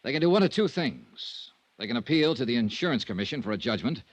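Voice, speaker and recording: A dogged, insistent investigator from a vintage radio detective show. His pitch swings widely as he narrates and presses people, wry and brisk. The old radio recording is dry, with no room around it. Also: sing-song, dry recording. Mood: pointed. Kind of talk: 1950s radio detective drama acting.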